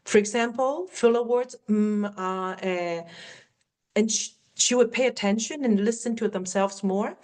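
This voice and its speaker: A woman's voice: medium pitch, measured pace, a little mellow. Cantonese-accented woman coaching a webinar. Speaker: workplace communication coach. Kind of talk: webinar Q&A teaching.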